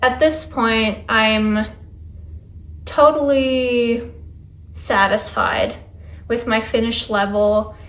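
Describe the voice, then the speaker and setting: A woman's voice: fairly high pitch, steady tone, very slow, pauses often, fairly bright tone. A young woman vlogging to camera in a casual, chatty Q&A, speaking English that is not her first language, reflective and easygoing. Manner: chatty, reflective, with little laughs. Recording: echoey room.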